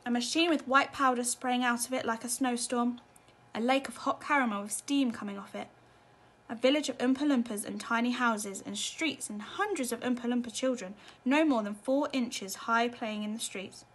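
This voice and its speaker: A woman's voice: on the high side, moderate pace. A woman narrating a children's storybook expressively, as a teacher reading at bedtime.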